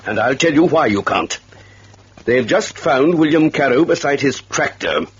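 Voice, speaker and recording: Guy acting stern indignant rival man, very lively pitch, muffled hissy old radio. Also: moderate pace, close to an everyday voice. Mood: shaken. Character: indignant, accusing male rival suitor.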